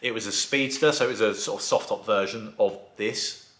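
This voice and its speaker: A man who restores classic cars, chatting casually in a vlog, with a croaky voice from a sore throat. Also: fairly low pitch, normal speed, fairly bright tone, reverberant. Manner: chatty, rambling, enthusiastic. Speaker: vlogger and car restorer.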